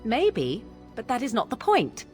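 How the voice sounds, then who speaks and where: Haughty woman with animated scorn. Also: fairly high pitch, a little slow, faint music behind. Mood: disgusted, irritated. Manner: disdainful, exasperated, archly formal. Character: haughty, sharp-tongued, scornful young woman. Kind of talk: video game dramatic acting.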